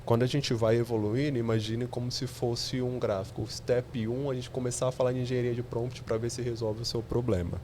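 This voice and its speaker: A man's voice: mid-range voice, little pitch movement, a little fast, hardly any pauses, a little mellow, slight background noise. A man, a podcast guest explaining technical ideas step by step with examples.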